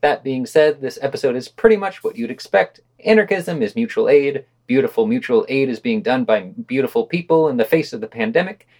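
A man's voice: medium pitch, animated, brisk, few pauses, darkish tone. A man hosting a podcast, reading written reviews with wry, skeptical asides. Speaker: podcast host.

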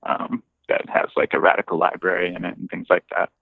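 Remote podcast guest: deep-pitched voice, tinny call audio, informal conversational delivery. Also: little pitch movement, a little fast, vocal fry, breathy edge, no echo. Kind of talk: casual chat. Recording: thin, tinny phone-like line.

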